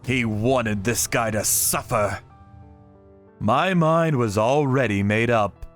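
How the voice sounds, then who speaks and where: A man reading scripted dramatic narration in a grave, measured way. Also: medium pitch. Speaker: narrator of a manga story, telling it in first person as a vigilante character. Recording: music behind the voice.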